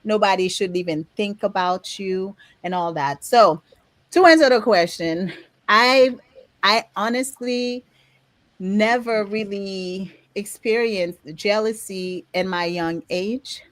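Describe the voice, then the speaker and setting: A woman in her forties with a Haitian accent, co-hosting a podcast and telling frank, animated stories with frequent laughter. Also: medium pitch, slow, no room sound. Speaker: podcast co-host. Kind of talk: candid conversational podcast chat.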